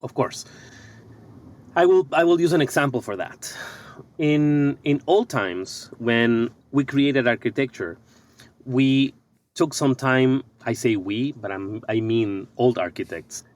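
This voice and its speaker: A middle-aged man from Ecuador, an architect and business coach, talking thoughtfully in a podcast interview, pausing and restarting his sentences often. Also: mid-range voice.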